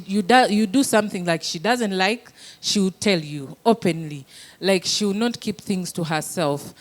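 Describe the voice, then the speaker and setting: Woman, medium pitch, audience member with a personal question; casual, hesitant, searching in short phrases.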